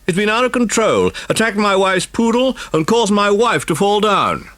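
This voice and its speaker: A man acts an irate, bossy husband in a children's storybook. He is demanding and blustering, with a raised, loud, swooping voice. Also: sits high, sing-song, normal speed, no echo, voice pushed up. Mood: indignant. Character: angry, bossy husband complaining loudly.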